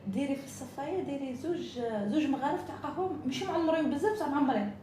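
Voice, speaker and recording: Algerian woman chatting fast on a live stream, echoey room, noisy background. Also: high voice, mellow. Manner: chatty, jumps between topics, mixes in French and English. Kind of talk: casual live-stream chat.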